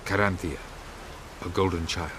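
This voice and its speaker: An actor voicing a haughty, calculating old elf sage in a video game, speaking in a formal, imperious and measured way. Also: male voice, low-pitched, steady tone, noisy background, natural voice. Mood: proud. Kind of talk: dramatic video game dialogue.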